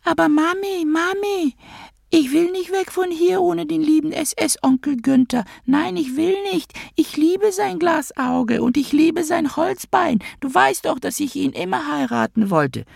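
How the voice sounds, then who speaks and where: A man voicing a stubborn, pleading eight-year-old girl in a squeaky falsetto for an audiobook. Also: animated, measured pace, gravelly, no room sound, clean studio sound, full character voice. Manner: childish pleading. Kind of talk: audiobook character voice.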